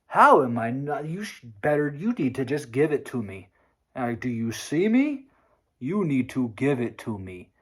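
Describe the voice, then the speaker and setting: A man's voice: medium pitch, big pitch swings, unhurried, fairly bright tone, slightly rough, heavily acted. A man putting on the voice of a boastful, entitled young man who demands praise, in a whiny, self-important tone. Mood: mocking.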